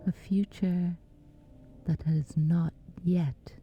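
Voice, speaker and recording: A woman guiding a sleep meditation in a hushed, breathy near-whisper, pausing between short phrases. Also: on the low side, steady tone, lo-fi sound. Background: background music.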